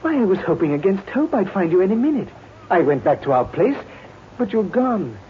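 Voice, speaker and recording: An actor playing a courtly, affectionate but deceitful husband in a 1950s radio drama, full of theatrical endearments. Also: male voice, high-pitched, very animated, fairly quick. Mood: effusive, pleading. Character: smooth-talking, affectionate, deceitful bigamist husband. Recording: muffled, noisy 1950s radio recording.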